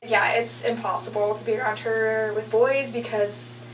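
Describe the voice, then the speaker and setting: A woman's voice: a little high, level tone, normal speed. A woman in her twenties from Utah, chatting and teasing about her roommate with lots of fillers. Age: 20s. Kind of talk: casual chat to camera. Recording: echoey room, distant mic, faint hum.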